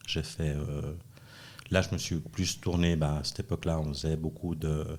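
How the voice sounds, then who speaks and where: A man's voice: a bass voice, level tone, moderate pace. A middle-aged man, chatting casually as an interview guest in a joking, self-deprecating way. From born in Chile, raised in Switzerland from age five. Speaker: podcast guest, dance school founder. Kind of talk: casual chat.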